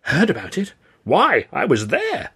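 A man's voice: mid-range voice, sing-song, normal speed, slightly rough, pitched above the performer's own voice. A man voicing an eager, naive young comic in an audiobook, full of polite, gushing, old-fashioned exclamations. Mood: excited, amazed. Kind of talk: audiobook character voice.

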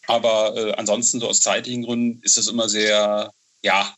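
A man with a lowish, very bright and forward voice speaks casually as an interview guest. He moves haltingly, doubles back on his words and keeps checking in with short tag questions. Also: level tone, poor audio. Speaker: interview guest, novel author.

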